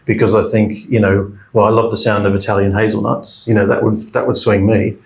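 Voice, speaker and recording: A man with a low voice and very little rise and fall in his delivery. He speaks as a podcast co-host, casually offering opinions and questions. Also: level tone, fairly quick, creaky, slight background noise. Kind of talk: casual chat.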